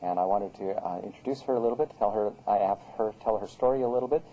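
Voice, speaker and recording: A man's voice: fairly low pitch, fast talker, mellow, muffled recording. A man hosting an interview, asking questions politely and hesitantly with many uhs. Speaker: host, interviewer.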